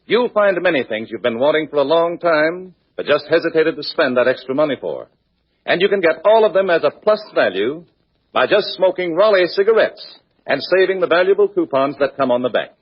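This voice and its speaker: Male announcer, husky, somewhat high-pitched, salesmanlike 1940s ad read, muffled recording. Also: lively intonation. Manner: salesmanlike, measured. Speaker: radio announcer. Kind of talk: 1940s radio commercial read.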